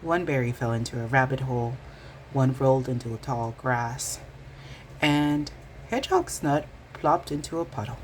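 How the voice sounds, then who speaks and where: A woman reading a children's bedtime story aloud in an expressive storytelling style. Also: rumbling low, moderate pace, a little hiss or hum, poor audio.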